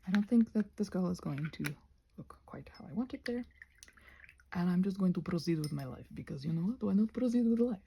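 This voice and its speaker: A woman chatting casually in a rambling ASMR style, breathy and often slipping toward a whisper, with playful, self-mocking asides. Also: fairly low pitch, expressive, fairly quick, lo-fi sound, a little hiss or hum. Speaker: ASMR creator painting a craft while rambling.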